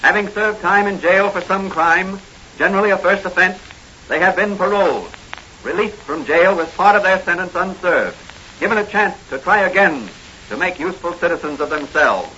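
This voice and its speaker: A man's voice: high-pitched, moderate pace, pushed and bright, a little hiss or hum. A man narrating a 1940s radio serial as a grand, declamatory announcer. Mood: grave, explanatory. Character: dramatic announcer of a crime-fighter serial. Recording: dull vintage radio recording. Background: music.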